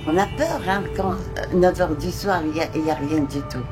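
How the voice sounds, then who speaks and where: Low-voiced woman, halting, over music. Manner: halting, with pauses and fillers. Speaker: shelter resident. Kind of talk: documentary interview.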